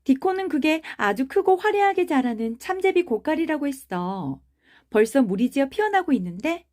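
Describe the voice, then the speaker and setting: Cold, curt little girl with a high voice, voiced by a woman reader; audiobook. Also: very animated, moderate pace, darkish tone. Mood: blunt. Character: blunt, cold little girl who picks up dialect. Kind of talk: audiobook character reading.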